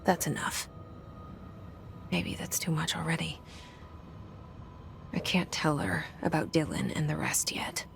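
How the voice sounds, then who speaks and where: An actress playing a brooding, guarded young woman's inner thoughts in a video game, hushed, intimate and breathy with some creak. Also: low-pitched, unhurried, pauses often, in a whisper, music in the background, full character voice. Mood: guarded, secretive. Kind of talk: introspective video game monologue.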